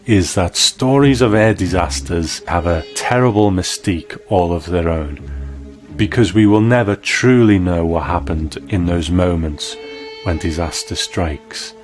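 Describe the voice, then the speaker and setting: A man's voice: deep voice, darkish tone. A man hosting a scripted video essay, reviewing in an enthusiastic, explanatory manner. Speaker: host and reviewer.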